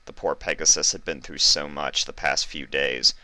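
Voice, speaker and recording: A man's voice: very deep voice, steady tone, normal speed, bright, forceful tone, dry recording. A man reading fan fiction aloud as scripted story narration.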